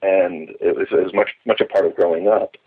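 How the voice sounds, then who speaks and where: A man's voice: sits low, fairly even intonation, normal speed, husky. A middle-aged man giving an enthusiastic, detailed, digressive account in a conversational interview, as a longtime fan reminiscing. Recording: dull lo-fi phone or call audio.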